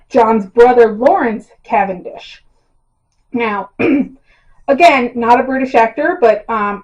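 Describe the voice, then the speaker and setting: A hoarse woman chatting casually to camera as a YouTuber, rambling and poking fun at herself, with lots of ums and little laughs. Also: on the high side, a little mellow, rough recording. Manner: rambling and self-deprecating, with frequent ums and laughs. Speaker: YouTuber casting book adaptations. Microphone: built-in camera mic, no external mic.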